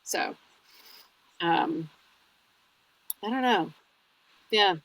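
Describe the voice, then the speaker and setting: A woman's voice: mid-range voice, animated, extremely slow, a bit bright, poor audio. A North American woman chatting casually as a podcast guest and audiobook narrator, telling stories with little acted-out asides.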